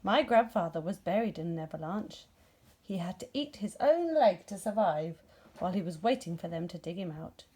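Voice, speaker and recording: A woman's voice: fairly low pitch, animated, normal speed, darkish tone, husky. A woman voicing a gloomy, self-important male rabbit in a children's storybook, lecturing severely. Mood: haughty. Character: grumpy, gloomy, pompous know-it-all male rabbit.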